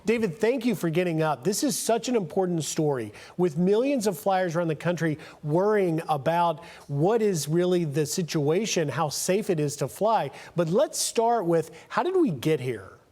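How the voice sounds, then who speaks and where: A man's voice: sits high, lively intonation, normal speed, few pauses, darkish tone. A man in his fifties with an American accent, anchoring live television news and interviews. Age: 50s.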